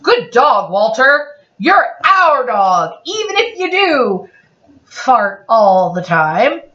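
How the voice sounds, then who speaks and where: Amazed, grateful storybook parent acted by a woman, slow exclamations, swooping pitch, roomy sound. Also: big pitch swings, sharp tone, exaggerated voice. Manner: exclamatory, drawn-out. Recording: roomy, dull lo-fi, distant mic.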